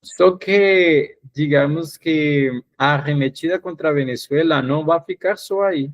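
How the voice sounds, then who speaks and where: A man's voice: fairly high pitch, unhurried, dry recording. Colombian man speaking Spanish-flavored Portuguese. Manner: mixes Spanish into his Portuguese, with frequent "digamos" fillers. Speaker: guest analyst. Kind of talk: political commentary.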